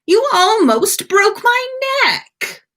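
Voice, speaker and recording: Storybook schoolboy wizard, acted by a woman: snobbish, whiny, indignant drawl, raised pitch, swooping delivery. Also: very animated, drawn-out words, bright, forceful tone, exaggerated voice. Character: teenage wizard boy, haughty, whiny and dramatic.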